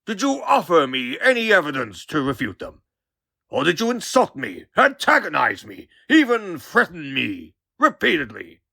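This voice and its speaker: A man's voice: on the high side, wildly swooping pitch, normal speed, gravelly, sharp tone, slightly rough, no echo, full character voice. A man voicing a pompous older newspaperman hosting a podcast in a comic book dub, bombastic and theatrical. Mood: accusing. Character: pompous, blustering older male newspaperman turned podcast host.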